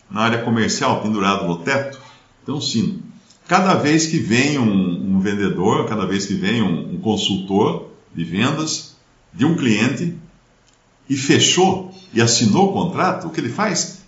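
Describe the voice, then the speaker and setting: Man, Brazilian accent, middle-aged, storytelling host, echoey room, muffled sound. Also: mid-range voice. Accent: Brazilian Portuguese. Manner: lively storyteller, animated sound effects. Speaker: podcast host and speaker. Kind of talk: relaxed career chat with anecdotes. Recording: roomy, dull-sounding.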